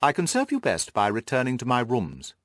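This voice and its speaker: A man voicing a brisk, courteous and confident detective with precise, self-assured speech in an audiobook. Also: medium pitch, wildly swooping pitch, fairly bright tone, dry recording, clean studio sound. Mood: courteous, decisive. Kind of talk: audiobook character dialogue.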